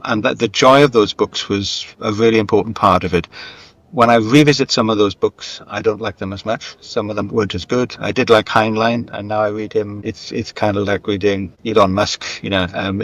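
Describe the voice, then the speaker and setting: A middle-aged or older man speaking as an interview guest in a candid, self-deprecating, conversational way, wandering through anecdotes. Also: on the low side. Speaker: guest, screenwriter and novelist.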